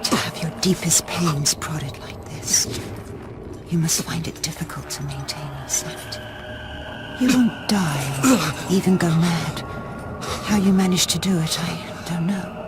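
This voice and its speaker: A woman playing a coldly tender, taunting witch villain, hushed and slow, in a video game cutscene. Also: fairly low pitch. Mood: cruel taunting. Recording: loud music under the voice.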